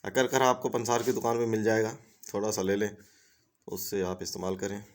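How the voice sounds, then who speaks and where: Male host, low and level voice, instructive reading of remedy tips. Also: fairly even intonation, fairly quick. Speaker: home remedies channel host.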